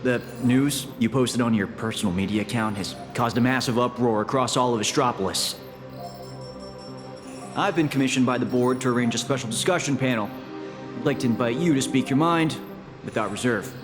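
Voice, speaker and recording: Composed corporate aide, played by a man: formal, courteous, mid-pitched, game score behind. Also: a little fast, lightly acted. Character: polished male corporate assistant and summit host. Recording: game voice-over with music.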